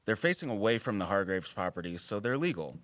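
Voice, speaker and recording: An actor playing a wry, guarded wildlife biologist in an audio drama, with teasing, dry sarcasm and an earnest streak. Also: male voice, on the low side, big pitch swings, quick pace, fairly bright tone, dry recording. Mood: earnest, conspiratorial. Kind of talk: naturalistic audio drama acting.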